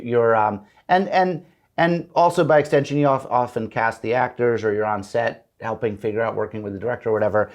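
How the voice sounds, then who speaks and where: A middle-aged man, a guest on an interview show, chatting casually about his work in TV comedy and often acting out quoted lines as he talks. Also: mid-range voice. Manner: animated storyteller, quick restarts and acted-out quotes.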